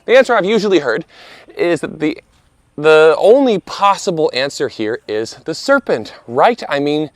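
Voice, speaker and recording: A man in his thirties, slightly hoarse from a lingering cough, giving an earnest, conversational commentary with playful asides as host of his video channel. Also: fairly high pitch, big pitch swings, moderate pace, dry recording. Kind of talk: casual scripted commentary.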